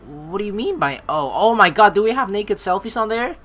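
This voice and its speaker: A woman doing a game playthrough, reading aloud and commenting with expressive, dramatic reactions. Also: medium pitch, brisk. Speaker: let's play streamer. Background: game music.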